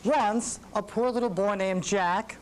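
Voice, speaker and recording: A woman narrating a children's story in simple, deliberate phrases, with musical instruments behind her. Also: medium pitch, darkish tone, a little hiss or hum.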